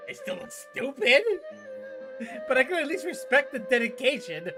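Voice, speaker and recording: A man giving casual, reactive game commentary as a streamer, slangy, joking and excitable. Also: extremely high pitch, normal speed. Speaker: gaming streamer. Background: game music.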